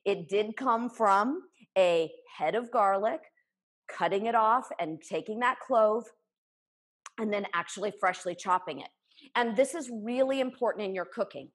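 A woman's voice: medium pitch, measured pace. A woman in her forties giving a live cooking demonstration in her home kitchen, explaining each step with enthusiasm while she chops. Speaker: cooking instructor. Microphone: camera a few feet away.

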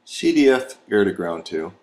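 A man with an American accent and a low-pitched voice narrates an instructional video. He delivers scripted steps methodically, one at a time, as a trainer would. There is faint noise behind his voice. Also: sing-song, normal speed. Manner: methodical, step-by-step.

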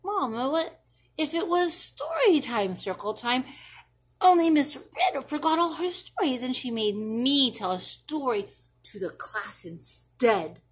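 Lady reading as a worried little kid from a picture book, high slightly husky voice, breathless rambling questions, big imagination. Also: sing-song, a little slow, full character voice. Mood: eager, wondering. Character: anxious, imaginative little school-age boy pestering his mother. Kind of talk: expressive children's storybook reading.

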